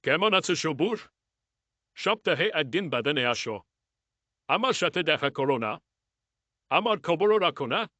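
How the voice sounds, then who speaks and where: A man acting a kindly old garden owner, bright-voiced. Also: fairly high pitch, animated, unhurried, long pauses, dry recording, voice pushed up, exaggerated voice. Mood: gently reproachful. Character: kindly, generous, pious old man who owns a garden.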